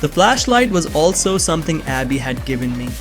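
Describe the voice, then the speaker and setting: A man's voice: on the high side, big pitch swings, fairly quick, faint music behind. A young man giving earnest, scripted first-person narration of a suspense story. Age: young adult.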